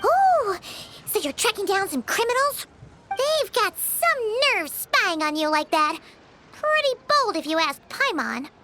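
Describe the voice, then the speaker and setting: Game companion: a tiny excitable girl fairy, very high and sing-song. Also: a little slow, very noisy, heavily acted. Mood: curious. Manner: excitable, talks about herself in third person. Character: tiny chirpy female floating fairy companion. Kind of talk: video game voice acting. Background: game music.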